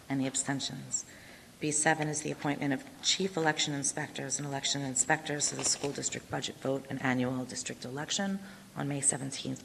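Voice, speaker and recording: A woman chairing a school board meeting, briskly reading agenda items and calling votes, her voice going hoarse with coughs. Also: very deep voice. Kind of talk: formal meeting procedure. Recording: reverberant hall with faint noise.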